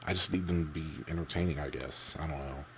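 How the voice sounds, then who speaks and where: Male vlogger, deep voice, halting unscripted chat with repeated words, noisy camera-mic recording. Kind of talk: casual off-the-cuff opinion chat.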